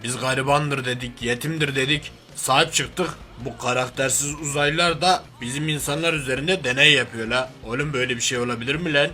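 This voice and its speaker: Man doing a brash, forceful hairy oaf. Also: medium pitch, steady tone, a little fast, few pauses, close to an everyday voice. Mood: angry, boastful. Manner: brash slangy street talk, threatening and grumbling. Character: crude, hairy, hot-headed Turkish oaf playing superhero. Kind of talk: improvised comic game-cartoon dub. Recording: music behind the voice.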